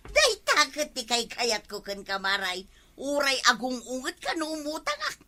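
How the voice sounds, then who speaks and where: A woman with an Ilocano accent playing a brazen, whining village woman in a comedy drama, loud and wheedling. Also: high-pitched, huge pitch swings, normal speed, heavily acted. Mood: gossipy, scheming. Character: brazen, whining village woman forever begging store credit.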